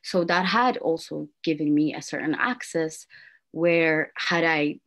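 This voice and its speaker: A young adult woman from Ethiopia, an anthropologist speaking on a video-call panel in a measured, thoughtful way with some restarts. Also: low-pitched, fairly bright tone. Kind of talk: academic panel talk. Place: small room at home. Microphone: laptop video call.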